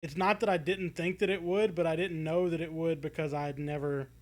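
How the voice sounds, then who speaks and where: A man with a slightly high voice talks casually as a podcast co-host. He feels his way through his thoughts, restarting and leaning on "like" as he goes. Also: steady tone, a little fast, darkish tone. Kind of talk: casual review chat.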